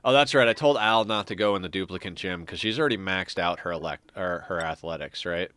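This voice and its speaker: A man with a low voice and a stuffy, congested nose talks through a game he is streaming. He mutters his plans half to himself, mocks his own choices and chuckles often. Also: a little fast, a bit bright, smooth. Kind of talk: casual gameplay commentary.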